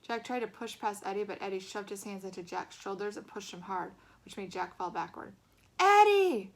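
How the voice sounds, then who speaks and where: Woman reading a story aloud, mid-pitched. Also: level tone, a little fast. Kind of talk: expressive storybook narration.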